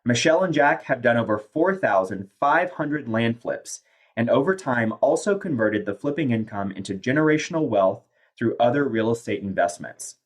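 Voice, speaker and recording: A man's voice: mid-range voice, expressive, normal speed. A man hosting a podcast who reads a guest introduction, then chats in an upbeat, effusive way. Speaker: podcast host. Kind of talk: scripted guest introduction, then casual chat.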